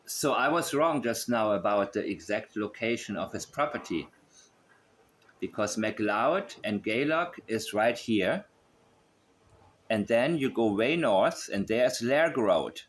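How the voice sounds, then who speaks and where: A man's voice: on the low side, lively intonation, slow. A man giving unscripted online commentary as he works through a map, thinking aloud and repeating himself as he goes. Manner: musing, thinking aloud, repetitive. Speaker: online host.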